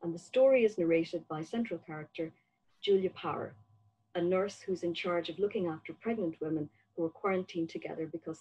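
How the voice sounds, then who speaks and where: An older woman with a husky voice, asking prepared questions in a literary interview over a video call, with slightly dull sound. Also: on the low side, dark tone. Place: book-lined room at home, indoors. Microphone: computer mic on a video call.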